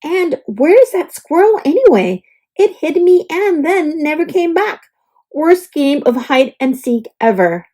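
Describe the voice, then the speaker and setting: A woman's voice: sits high, sing-song, measured pace, dark tone, voice pushed up, poor audio. A woman voicing a perky, dramatic young oak tree telling its storybook diary, with theatrical exclamations. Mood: grumpy. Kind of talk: storybook diary read-aloud.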